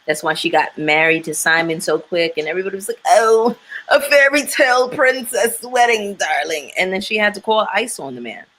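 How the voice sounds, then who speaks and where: A woman's voice: mid-range voice, moderate pace, fairly bright tone, rough recording. A woman chatting frankly and with strong opinions about a reality show, with many fillers. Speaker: panelist.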